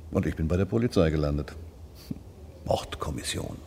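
A matter-of-fact detective around forty in a radio drama. His voice is deep and mellow, his delivery conversational. Faint ambience surrounds him. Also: normal speed, slight background noise. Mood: affable. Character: matter-of-fact male homicide detective, old schoolfriend, about forty.

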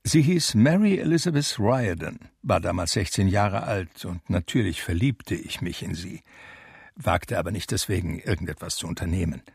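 An actor playing a wistful blind pianist who narrates his life in a radio drama, measured and reflective, with a gravelly voice. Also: low-pitched, animated, darkish tone, dry recording, clean studio sound, heavily acted. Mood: reminiscing. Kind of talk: literary first-person radio drama narration.

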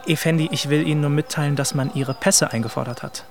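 An actor playing a polite, worried young private tutor, speaking formally and respectfully in a radio drama. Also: male voice, fairly high pitch, fairly even intonation, quick pace, slight background noise, close to an everyday voice. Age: young adult. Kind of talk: naturalistic German radio drama acting.

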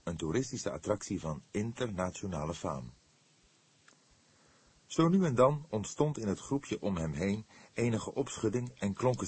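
A man's voice: low voice, slightly rough. A man reading scripted literary narration as an audiobook narrator.

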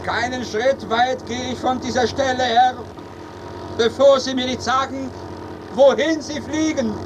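High-pitched, exasperated male servant. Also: steady tone, a little slow, noisy background. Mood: alarmed, insistent. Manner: declamatory, exasperated, pleading. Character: agitated, complaining male servant of a mad master. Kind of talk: theatrical radio play of ancient comedy.

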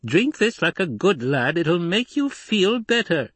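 A man voicing a mild, reasonable household doctor in an audiobook, measured and deferential. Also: on the high side, very animated, slightly rough, no echo, pitched above the performer's own voice. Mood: calm, coaxing. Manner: measured, coaxing. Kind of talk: audiobook character acting.

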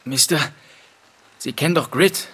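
A man's voice: fairly high pitch, a little slow, a bit bright, husky. A man playing an uneasy, stubborn thirteen-year-old boy in a radio play, hesitant and guarded.

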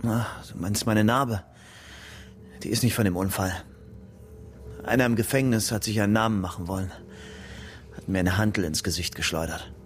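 A man acting a young ex-convict in a radio crime play, speaking and narrating in a fairly low voice. He is guarded, and soft music sits behind him. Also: normal speed, long pauses, natural voice.

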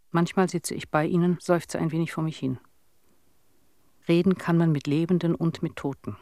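A woman's voice: low-pitched, dark tone, no echo, clean studio sound, lightly acted. An older actress playing a lonely, sorrowful old village woman, telling her story plainly and reflectively in a radio drama monologue. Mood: lonely, musing.

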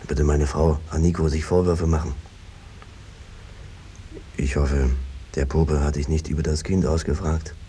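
An actor playing an evasive, henpecked peasant brother who talks around things in a cajoling, hesitant way, in a 1950s radio drama style. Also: male voice, very deep voice, fairly even intonation, a little slow, pauses often, warm tone. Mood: evasive, uneasy. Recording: noisy old 1950s radio recording.

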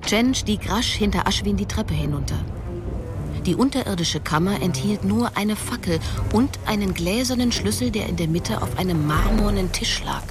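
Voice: mid-range voice, expressive, normal speed. A narrator reading a scripted fantasy story for a radio drama. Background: music.

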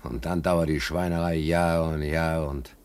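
An actor in a German radio play playing a bitter, anxious working-class ship stoker, plain-spoken and brooding, with a husky voice. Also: male voice, extremely low pitch, little pitch movement, measured pace, a little mellow. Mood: sarcastic, bitter.

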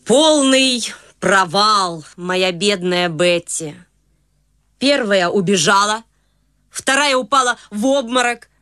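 A woman's voice: medium pitch, lively intonation, slow, bright, forceful tone, no echo. A middle-aged actress playing a fussy, anxious society mother in a studio radio play, chattering away. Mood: fretful. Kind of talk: studio radio drama acting.

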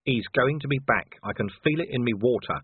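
A man's voice: mid-range voice, fairly quick, slightly rough, no room sound, narrow, tinny audio. A man voicing a jumpy, grumbling city watch commander in an audiobook, blunt and exasperated. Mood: tense, insistent.

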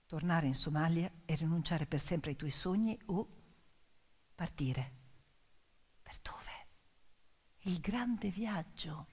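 A woman's voice: deep voice, takes it slowly, long pauses, darkish tone, slightly rough. A woman performing a dramatic theatrical monologue as a stage storyteller, intense and emphatic, in short suspenseful phrases. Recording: long hall reverb, piano music underneath. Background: piano accompaniment.

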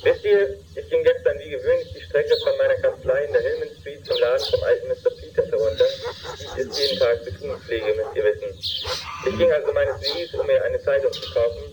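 A man's voice: mid-range voice, brisk, natural voice. Man as a pompous, chummy lawyer, noisy recording. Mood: earnest, long-winded. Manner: wordy, over-familiar, pompous, stilted. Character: long-winded, chummy adult male lawyer phoning detectives. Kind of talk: German amateur parody radio play.

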